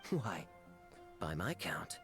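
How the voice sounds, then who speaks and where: An actor playing a suave, teasing knight captain in a video game, with a sly, smooth manner. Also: male voice, low voice, big pitch swings, takes it slowly, over music, heavily acted. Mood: amused, courteous.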